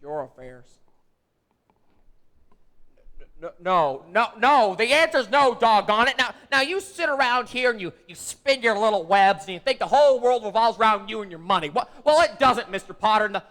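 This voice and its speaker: A man's voice: high-pitched, animated, a little fast, a bit bright. A young man playing an earnest, nervous young clerk in a live radio play, stammering and repeating words. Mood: indignant. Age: young adult. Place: theater stage.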